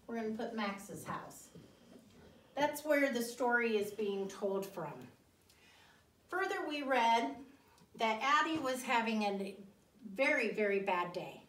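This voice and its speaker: Female elementary teacher, higher-pitched, unhurried and didactic, asking pupils questions in an echoey room. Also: a bit bright, a little hiss or hum. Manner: didactic, deliberate, pausing and repeating. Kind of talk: classroom reading lesson with questions to pupils. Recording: roomy echo, distant mic.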